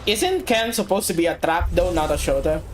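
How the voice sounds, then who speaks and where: A woman from the Philippines streaming a game, chatting casually and getting excitable as she plays. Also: fairly low pitch, brisk. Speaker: VTuber streamer. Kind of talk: casual gaming chat. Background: game music.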